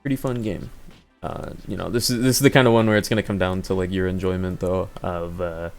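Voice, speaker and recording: A man's voice: low-pitched, steady tone, brisk, soft background music. A man giving casual, off-the-cuff commentary on video games, rambling and hesitant as he thinks aloud. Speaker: video game showcase host.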